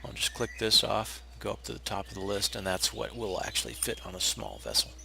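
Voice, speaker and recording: A man with a low, raspy voice, hoarse because he is unwell, explains a game build at an easy pace, stopping to correct himself now and then. The home recording carries a steady hiss, and birds chirp faintly in the background. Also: a little fast. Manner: relaxed, explanatory, with frequent uh fillers and restarts. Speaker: game tutorial narrator. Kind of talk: casual step-by-step gameplay tutorial.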